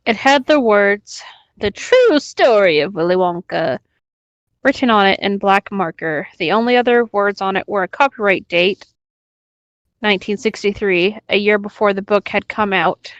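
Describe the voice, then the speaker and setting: A woman with a medium-pitched voice reads internet horror stories aloud as a podcast co-host. Her delivery is casual, with room for banter, and the sound is dry with no room echo. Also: a bit bright, highs cut off.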